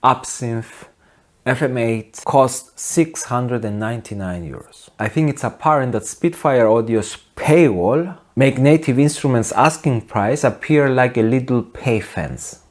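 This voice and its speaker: Male video essayist, forties, mid-range voice, dry and deadpan, opinionated. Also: mid-range voice, unhurried. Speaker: host of a music production video channel. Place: small home studio, indoors.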